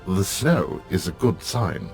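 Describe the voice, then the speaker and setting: An actor playing a dutiful, courteous attendant who speaks formally and ornately in a video game. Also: male voice, sits low, big pitch swings, takes it slowly, gravelly, faint music behind, heavily acted. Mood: courteous, solemn.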